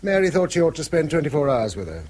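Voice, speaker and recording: A man's voice: a little high, very animated, fast, a little mellow, slight background noise. An actor playing a weary, guarded middle-aged father in a radio drama, with an English accent and a terse, reserved manner.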